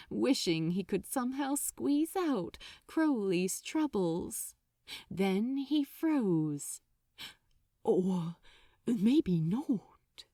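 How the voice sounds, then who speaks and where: A woman narrating a story from a script in a dramatic, expressive and deliberate way. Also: on the high side, no room sound, clean studio sound. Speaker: fan fiction narrator.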